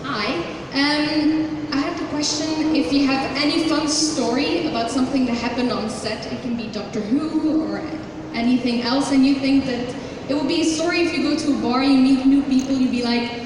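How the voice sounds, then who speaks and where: Woman, fan at a convention mic, polite, a bit hesitant, high voice, roomy hall echo. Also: normal speed. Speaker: fan asking a question at a convention panel. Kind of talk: audience question at a mic. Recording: echoey hall recording from a distance with crowd noise.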